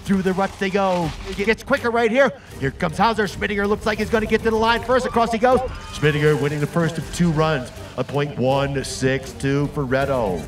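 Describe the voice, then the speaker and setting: A man calling ski races play-by-play in quick sports commentary. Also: sits high, soft background music. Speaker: race commentator.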